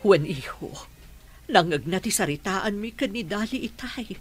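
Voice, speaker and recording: An actress playing a mature, reassuring adoptive mother, insistent and expressive, in radio drama style. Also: medium pitch, moderate pace, slightly rough, faint music behind. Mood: concerned, reassuring.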